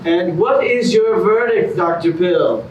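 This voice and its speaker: Man as a very high-pitched gushing TV host. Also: expressive, unhurried, dull audio. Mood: gushing showmanship. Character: gushing male TV reality contest host. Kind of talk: staged reading of a musical comedy. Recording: very noisy, roomy, distant stage recording.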